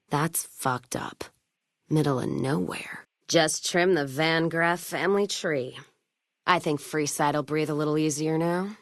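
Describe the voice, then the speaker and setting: A woman's voice: sits low, no room sound, clean studio sound. A woman playing a tough, blunt, hard-bitten caravan owner in a video game, with a sardonic manner. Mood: grim, determined. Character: tough, foul-mouthed, whiskey-drinking woman caravan owner of the wasteland. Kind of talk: video game character voice lines.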